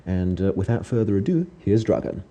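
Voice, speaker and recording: A man from Serbia hosting a podcast interview in a relaxed, conversational way. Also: low-pitched, brisk, dull audio. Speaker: podcast host.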